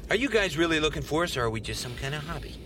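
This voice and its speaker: An actor in a radio drama playing a cocky, irritable space smuggler, sarcastic and impatient but gruffly caring. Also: male voice, sing-song, very fast. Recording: loud rumbling noise behind.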